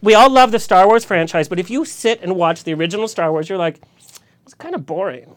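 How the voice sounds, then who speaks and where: A man's voice: sits high, a little fast, no room sound. Campy, playful man hosting a talk show. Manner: playful, campy, laughs often. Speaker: host. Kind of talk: casual talk show chat. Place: radio studio.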